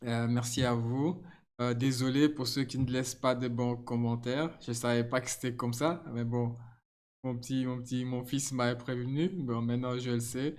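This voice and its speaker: A middle-aged man, not a native French speaker, chatting casually to his viewers with frequent laughs and halting restarts. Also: medium pitch, fairly even intonation, a little mellow. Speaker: channel host.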